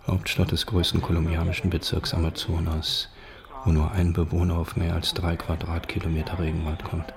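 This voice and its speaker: A man's voice: sits low, completely flat delivery, fairly quick, creaky, a crowd in the background. A man reading scripted documentary narration in a sober, factual manner.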